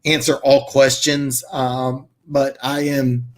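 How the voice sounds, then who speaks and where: A man hosting a live football chat stream, answering viewers in a rambling, enthusiastic way, his voice hoarse and congested. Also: mid-range voice, level tone, takes it slowly. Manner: rambling, many restarts and fillers, enthusiastic.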